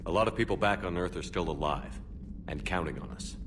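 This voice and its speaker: A man's voice: low voice, brisk, background noise, close to an everyday voice. A man playing a resolute, no-nonsense military commander in a video game, talking in a direct, clipped way. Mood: stern. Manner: direct, clipped, matter-of-fact. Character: resolute, no-nonsense male space navy commander.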